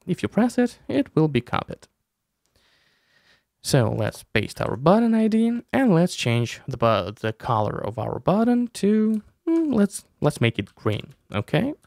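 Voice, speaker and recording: Guy in his 30s doing a software tutorial, gravelly voice, really animated pitch. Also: unhurried, no room sound, studio quality. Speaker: tutorial host. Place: small room indoors. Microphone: close desk mic with headset.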